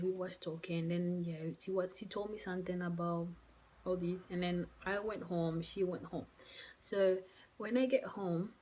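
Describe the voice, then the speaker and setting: Young woman, non-native English speaker, low-pitched, soft and halting, emotional personal story, filler-heavy. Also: breathy edge, rough recording. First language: not English. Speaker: vlogger. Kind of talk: personal story told to camera. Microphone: phone or camera mic.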